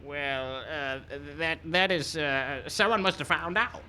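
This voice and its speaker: A man playing a rambling, spooked old frontier man in a radio western, halting and folksy. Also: fairly high pitch, sharp tone, a little hiss or hum, exaggerated voice. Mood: flustered, evasive. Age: older. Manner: halting, folksy, muttering repetitions.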